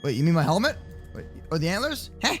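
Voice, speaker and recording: A young adult man improvising a children's block-game roleplay with excitable, exaggerated, slangy banter. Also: on the high side, fast talker, husky, soft background music. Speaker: host of kids' gaming roleplay channel.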